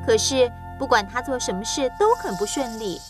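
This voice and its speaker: A woman narrates a children's fairy tale in a fairly high voice. She follows a script in storybook style, with clear music behind her. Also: moderate pace.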